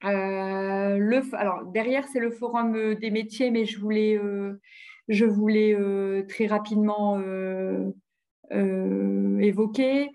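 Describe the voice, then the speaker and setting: A woman's voice: mid-range voice, fairly even intonation, slow pace, dark tone. A woman giving an informal webinar slide presentation, often hesitating and repeating words as she looks for her phrasing. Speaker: presenter of local health programmes.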